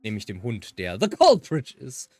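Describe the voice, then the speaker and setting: A man's voice: mid-range voice, huge pitch swings, moderate pace, no echo. A German man giving casual commentary on a game he is playing and reading aloud. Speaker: let's player.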